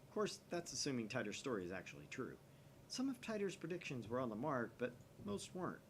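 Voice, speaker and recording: A man's voice: mid-range voice, big pitch swings, a little fast, gravelly, a little hiss or hum. A man voicing a grandiose, paranoid young mad scientist from a visual novel, in a theatrical, conspiratorial manner. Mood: brooding, suspicious.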